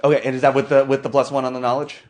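A man's voice: mid-range voice, level tone, rapid-fire, a little mellow, soft background music. A man narrating as game master with playful, theatrical introductions.

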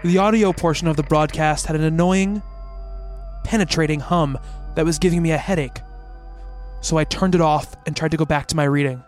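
An actor playing a concerned, skeptical doctor who narrates his investigation in a measured, formal radio play style. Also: on the high side, steady tone, faint music behind, lightly acted. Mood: measured, reflective.

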